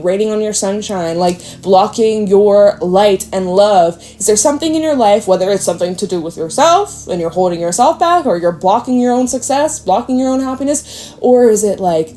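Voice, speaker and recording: A woman with a congested nose giving a casual tarot reading, gently encouraging and asking her viewers question after question. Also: mid-range voice, a little hiss or hum. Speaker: tarot reader.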